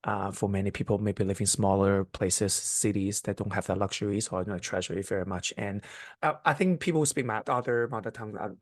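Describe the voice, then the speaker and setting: A man whose first language is Cantonese, co-hosting a casual podcast chat in a halting, soft-spoken way with frequent restarts. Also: on the low side, no room sound, clean studio sound. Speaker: podcast co-host. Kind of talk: casual conversation.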